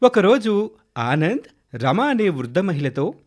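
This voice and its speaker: A man's voice: high voice, big pitch swings, slow pace. A man narrating a scripted moral story for children as a storyteller.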